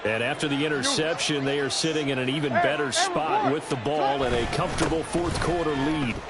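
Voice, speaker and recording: A man's voice: a little high, wildly swooping pitch, a little fast, heavy background noise. A man playing an American play-by-play announcer in a football video game, with a polished, punchy broadcast delivery. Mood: steady, informative.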